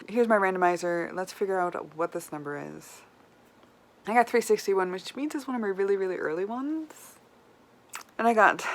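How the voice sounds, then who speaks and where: A woman chatting casually to viewers as a book vlogger, with animated, self-deprecating asides. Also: mid-range voice. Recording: clear background room noise.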